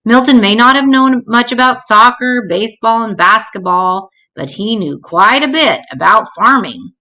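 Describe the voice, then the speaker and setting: A woman reading a children's book aloud in an expressive storytelling style, shifting her pitch to act out dialogue. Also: moderate pace. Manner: acts out dialogue with changing pitch.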